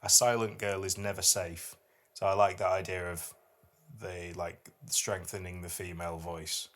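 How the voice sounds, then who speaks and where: Low-voiced man hosting a podcast. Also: little pitch movement, moderate pace, a bit bright. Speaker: podcast host. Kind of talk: casual chat and poetry reading.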